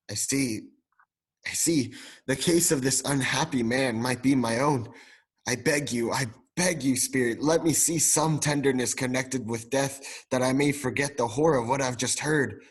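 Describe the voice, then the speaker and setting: A man's voice: medium pitch, breathy edge. A young man playing a frightened, repentant old miser in a radio play, with formal, pleading dramatic delivery. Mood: horrified, pleading. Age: young adult. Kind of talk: theatrical radio play acting.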